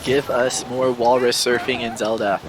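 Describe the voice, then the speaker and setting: A man's voice: mid-range voice, level tone, normal speed, noisy background. A man chiming in on a game playthrough with short, wry one-liners. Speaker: co-commentator. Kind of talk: casual let's-play chat.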